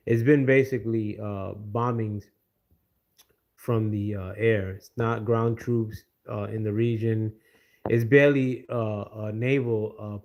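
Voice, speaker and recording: American guy on a livestream, kinda low voice, lots of ums and uhs, stops to say hi to chat. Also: a little mellow. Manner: halting, many fillers, greets viewers by name. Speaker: livestream host. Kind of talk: casual political commentary.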